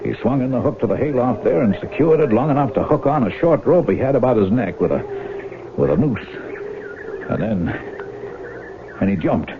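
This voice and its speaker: Old muffled radio show, actor doing a creepy obsessive country farm guy, deepish, pushy and folksy, very animated pitch. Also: moderate pace, pauses often, noisy background, close to an everyday voice. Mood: grim, insistent. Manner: folksy, insistent, brooding. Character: menacing, obsessive older farm brother, secretly murderous. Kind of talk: 1970s radio drama acting. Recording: muffled 1970s radio broadcast, music behind.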